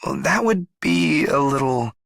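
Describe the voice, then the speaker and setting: An actor playing a reserved, courteous and slightly bashful stage performer in a video game, husky and formal in a hesitant way. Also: male voice, mid-range voice, very animated, measured pace, fairly bright tone, no room sound, studio quality, exaggerated voice. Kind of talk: video game character voice acting.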